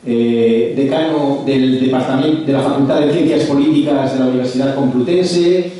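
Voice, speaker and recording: A man's voice: medium pitch, moderate pace, mellow, far from the mic. A man introducing a lecture speaker formally, hesitating with many fillers and restarts. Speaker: lecture presenter. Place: large echoey lecture hall. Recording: echoey hall, speaker off-mic.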